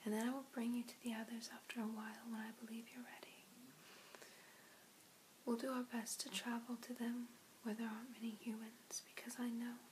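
A young woman in her twenties playing an ancient, protective vampire mentor in a whispered, soothing ASMR roleplay, with breathy, hushed delivery and long pauses. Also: on the high side, a little hiss or hum, natural voice, poor audio. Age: 20s. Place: small indoor room.

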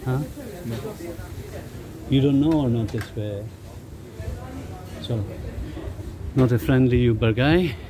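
A man's voice: medium pitch, slow, long pauses. A man narrating a casual walking travel vlog outdoors and asking passersby for directions. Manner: asks strangers for directions, short offhand remarks. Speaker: travel vlogger. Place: outdoors on a pedestrian street in an old town. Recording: outdoor street noise behind.

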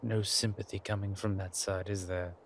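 A man playing a centuries-old vampire in a roleplay audio drama, suave, wry and protective, speaking in a measured, theatrical way with deliberate pauses. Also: lowish voice, little pitch movement, close to an everyday voice. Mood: wry, grumbling. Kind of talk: scripted roleplay audio drama addressed to the listener. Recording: clear background ambience noise.

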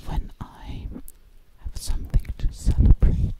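A sassy, vain witch, acted by a woman in an ASMR roleplay, whispering breathily right up close. She takes her time, very slowly repeating words with a sinister edge. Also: lightly acted. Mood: proud, coy. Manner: slow, lingering, repeats words over and over. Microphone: close microphone.

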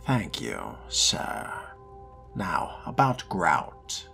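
Male player as vampire fledgling for game role-play: low, very slow, hushed and scornful, clear music underneath. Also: big pitch swings, exaggerated voice. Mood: measured, deferential. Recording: music behind the voice.

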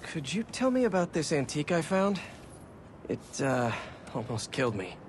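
An actor with an American accent playing a young, wisecracking, slightly awkward superhero in a video game cutscene. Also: male voice, on the high side, big pitch swings, normal speed, slight background noise. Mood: earnest. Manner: quippy, hesitant asides. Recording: loud music behind.

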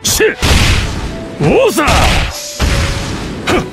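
A man playing a calm, deadly old martial arts master and assassin in a video game, with a weathered, measured, wry delivery. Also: extremely high pitch, loud music behind the voice, heavily acted. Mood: battle-ready. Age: older. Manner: measured, wry, explosive battle cries. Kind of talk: video game battle voice lines.

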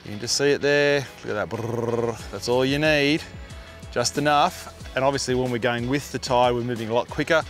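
A man's voice: medium pitch, a little fast, fairly bright tone, faint music behind. A middle-aged man with an Australian accent giving casual, matey instructional fishing commentary outdoors on a boat. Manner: informal, matey, explanatory. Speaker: fishing show host. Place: outdoors on a small boat on open water.

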